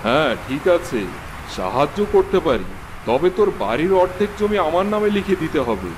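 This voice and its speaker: A man's voice: on the high side, big pitch swings, normal speed, noisy background. A man playing a haughty, stingy, domineering village landlord in a cartoon, speaking in a scornful, bossy way. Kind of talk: cartoon dialogue acting.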